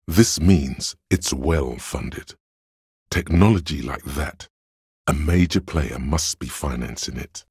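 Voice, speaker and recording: A cool, superior voice of pure reason for a video game. It is extremely deep and heavily husky, full of gravel. The delivery is measured, deliberate and dryly analytical, like dramatic narration. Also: male voice, no echo, studio quality, exaggerated voice. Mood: probing, deductive. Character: cool, analytical inner voice of Logic, dry and superior.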